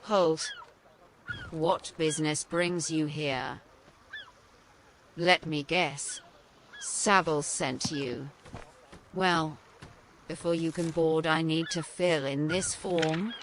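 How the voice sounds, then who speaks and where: An officious, slow-talking female ship captain. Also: low-pitched, steady tone, slight background noise. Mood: brisk, suspicious. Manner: commanding, dry, deliberate. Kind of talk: audio fantasy game acting.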